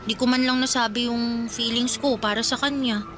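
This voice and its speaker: Radio drama role: woman as sentimental older girl cousin, fairly high voice, confiding, emotional, music clearly behind. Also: steady tone, normal speed, natural voice. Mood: regretful. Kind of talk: Tagalog radio drama acting.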